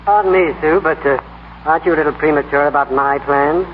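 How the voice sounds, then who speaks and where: Haughty quick-talking villain, played by a man. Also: a little high, natural voice. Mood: coolly menacing. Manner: haughty, formal, dismissive. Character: smooth-talking, haughty blackmailing society villain. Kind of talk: 1930s radio acting. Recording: scratchy, tinny 1930s radio broadcast.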